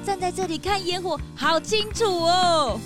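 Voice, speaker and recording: A woman voicing a curious, excitable young male sidekick in a kids' audio drama, eager and chatty. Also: very high-pitched, a little slow, music in the background.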